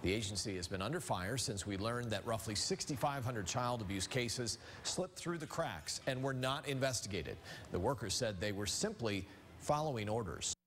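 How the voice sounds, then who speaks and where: A man's voice: on the low side, animated, moderate pace, fairly bright tone, a little hiss or hum. A man with an American accent reading scripted news as a television anchor. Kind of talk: scripted newscast narration.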